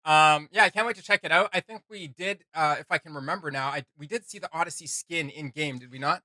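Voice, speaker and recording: A man's voice: on the high side, fast, pushed and bright, no echo. A man hosting a reaction video, chatting casually to introduce it.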